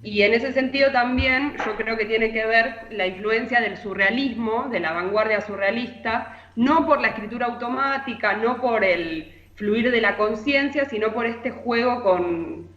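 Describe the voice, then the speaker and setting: A woman's voice: fairly high pitch, unhurried, hardly any pauses, a bit bright. A woman with an Argentine accent giving conversational literary commentary as a columnist, explaining hesitantly and often checking in with short tag questions. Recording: echoey, muffled remote connection.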